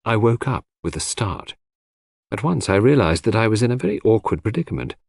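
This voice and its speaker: A man narrates an audiobook story in the first person. His voice sits fairly low and moves through very animated pitch swings. The delivery is scripted and literary. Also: fairly quick, gravelly, slightly rough, dry recording.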